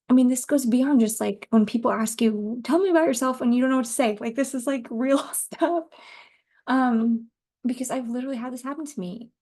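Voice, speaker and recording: Casting: woman podcaster, thirties, light higher voice with a soft, relaxed tone, informal and rambling. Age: 30s. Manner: informal, rambling, laughs easily, occasional swearing. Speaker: podcast host. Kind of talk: casual solo podcast chat.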